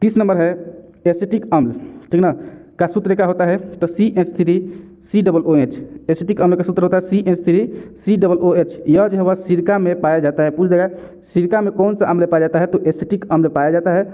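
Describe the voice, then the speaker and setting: High-pitched male teacher, phone-like sound. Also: steady tone, fairly quick. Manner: didactic, repeats key facts as reminders. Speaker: exam-prep chemistry teacher. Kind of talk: question-and-answer lesson narration. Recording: narrow, tinny phone-like sound.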